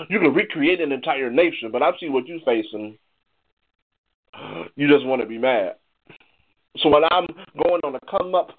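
A man performing spoken word poetry in an emphatic, confrontational manner full of rhetorical questions. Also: on the high side. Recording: thin, phone-like band-limited sound.

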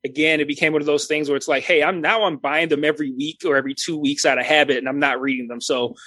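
A man's voice: a little high, steady tone, fast, pushed and bright, no echo. A man in his thirties chatting casually as a podcast co-host, rambling with many restarts and fillers. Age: 30s.

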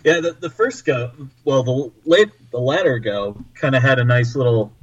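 A man's voice: mid-range voice, expressive, moderate pace, a little hiss or hum. A man guest on a podcast, easygoing, giving advice in casual chat. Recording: dull lo-fi sound.

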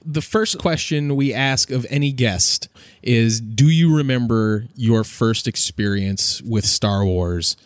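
A man's voice: mid-range voice, slow, dry recording, clean studio sound. A man hosting a fan podcast enthusiastically, with playfully grandiose and emphatic phrasing. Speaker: podcast host.

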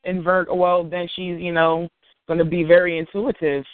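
A woman's voice: on the low side, level tone, normal speed. A woman who is a spiritual reader, a guest on a talk show, explaining things in a confident, lecturing way. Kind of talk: casual chat, explaining. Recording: tinny phone line, dry.

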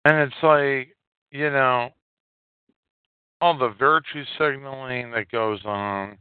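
A man hosting a solo podcast, giving opinionated commentary in halting, emphatic phrases with a lot of repetition. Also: medium pitch, smooth, dry recording. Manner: coarse, swearing. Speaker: podcast host. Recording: narrow phone-like line, dry.

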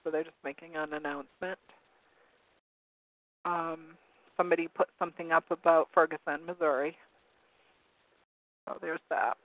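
A hoarse man with a slightly high voice hosts a call-in talk show, rambling and hunting for words as he reads from web pages. His voice comes through a narrow, phone-like line that sounds dry and close, with no room around it. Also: level tone, normal speed, long pauses, no echo. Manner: rambling, searching for words with many ums. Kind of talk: casual talk radio commentary, reading from web pages.

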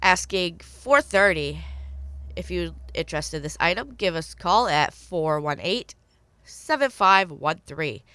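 A woman with a stuffy, congested voice hosts a local call-in radio show. Her voice sits slightly low and is recorded close and dry. She reads out items for sale and repeats phone numbers carefully. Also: animated, a little slow, sharp tone, no echo. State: stuffy from a cold. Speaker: radio swap-show host. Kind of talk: call-in radio hosting, reading listings.

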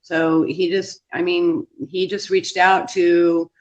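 A woman's voice: on the low side, level tone, takes it slowly. A woman speaking as a tour guide in a casual interview, conversational and full of small anecdotes. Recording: dull lo-fi audio.

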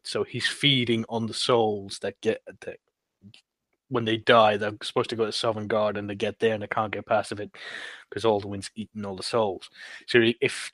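Male podcast panelist, casual storyteller, halting and searching for words, close dry sound. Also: fairly low pitch, a bit bright, dry recording. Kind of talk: casual game discussion, recounting a quest.

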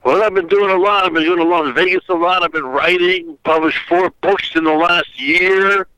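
A man's voice: high voice, steady tone, moderate pace, bright, forceful tone, smooth, dry recording, low-quality recording. An older man from Austria, a guest author on an internet call, talking in a rambling way with many fillers and restarts. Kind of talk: phone interview. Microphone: internet call audio.

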